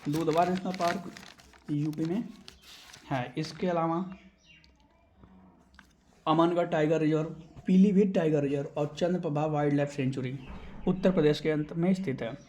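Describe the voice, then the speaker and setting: A male exam coach with a fairly high-pitched voice. He explains quiz answers in an instructional way. Key terms get repeated so that students can memorise them. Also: fairly even intonation, normal speed, pauses often, dark tone, slight background noise.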